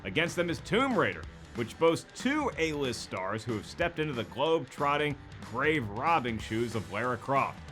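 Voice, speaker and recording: A man's voice: mid-range voice, big pitch swings, normal speed, hardly any pauses, fairly bright tone, soft background music. A man hosting a scripted comedic commentary show in a theatrical, tongue-in-cheek announcer style. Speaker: host of a movie face-off web show.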